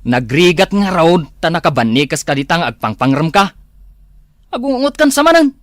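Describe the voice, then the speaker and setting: A man's voice: on the high side, sing-song, moderate pace, fairly bright tone, no echo, clean studio sound. A man acting a henpecked, whining village husband in an Ilocano comedy drama, with an Ilocano accent.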